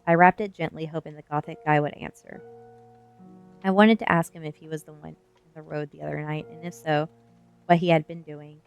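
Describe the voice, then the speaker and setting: A woman's voice: deep voice, steady tone, brisk, clean tone, muffled recording, faint music behind. A woman reading a first-person novel aloud as ASMR storytelling.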